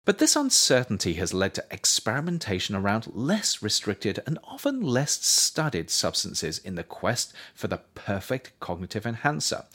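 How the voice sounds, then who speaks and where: A man reading his own nonfiction book aloud as scripted narration, with measured, deliberate phrasing. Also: fairly low pitch, fairly bright tone.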